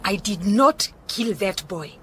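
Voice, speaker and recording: An actress playing a desperate accused wife with a Southern African accent in a radio drama, pleading insistently. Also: female voice, medium pitch, lively intonation, slow pace, bright, forceful tone. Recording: noticeable background noise.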